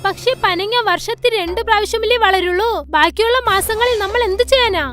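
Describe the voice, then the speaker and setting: A woman's voice: very high-pitched, brisk, fairly bright tone. A woman playing a worried, nagging wife of a poor farmer in a cartoon fairy tale, pleading and insistent. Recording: faint music under the voice.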